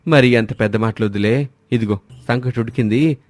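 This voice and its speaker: An actor playing a kind, stubborn village man who lives alone, speaking gently and reassuringly. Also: mid-range voice, fairly quick, faint music behind, natural voice.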